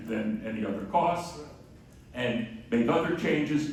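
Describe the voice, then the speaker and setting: A man giving an emphatic public speech at a town hall with a loud, projecting voice, often posing rhetorical questions. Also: medium pitch. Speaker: guest speaker from an advocacy group. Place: large echoey room at a public meeting. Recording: echoey hall, distant mic, faint noise.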